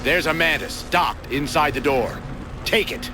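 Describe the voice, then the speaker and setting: An actor playing a stern, impatient warship captain in a video game, giving curt, authoritative orders. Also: male voice, fairly high pitch, a little slow, background noise, lightly acted. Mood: brisk, commanding. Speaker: military captain. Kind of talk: video game cutscene acting. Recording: loud music over the voice.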